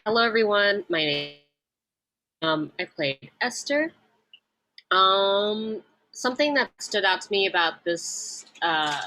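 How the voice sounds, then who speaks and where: A woman's voice: mid-range voice, pushed and bright, rough recording. A young woman, an actor, chatting loosely on a video-call panel. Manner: chatty, rambling. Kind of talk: casual chat.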